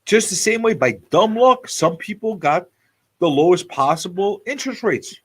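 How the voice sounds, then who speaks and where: A man's voice: high-pitched, expressive, moderate pace, slightly rough. A man in his forties hosting a casual live talk show, repeating phrases for emphasis as he talks off the cuff. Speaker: radio show host.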